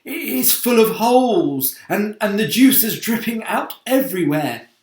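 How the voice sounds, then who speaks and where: A man voicing an alarmed old green grasshopper in a children's storybook, shouting urgently. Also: high voice, lively intonation, a little slow, voice pushed up. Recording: roomy, echoing sound.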